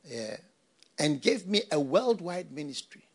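A man's voice: on the high side, big pitch swings, measured pace, slightly rough. An older man from Ghana, a pastor, preaching a sermon with repeated phrases and rhetorical questions.

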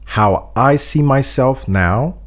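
A man's voice: medium pitch, takes it slowly. A man co-hosting a casual chat, giving earnest advice and acting out example speeches, a bit phlegmy. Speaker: podcast co-host giving advice.

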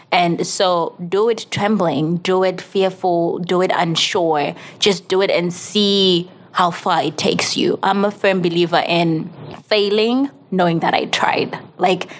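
A young woman from Zimbabwe speaks as a podcast guest in a fairly low voice. Her delivery is earnest and motivational, close to a sermon. She threads her points with casual "like" and "you know" fillers. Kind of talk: casual interview conversation.

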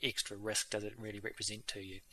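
A man's voice: on the low side, flat delivery, fast talker, pushed and bright. A man hosting a vet podcast, chatting casually with many fillers and restarts. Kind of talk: casual interview chat.

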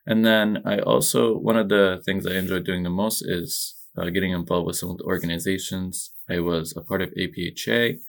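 A young man from Egypt, a podcast guest, giving calm and measured conversational answers about his path. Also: sits low, gravelly.

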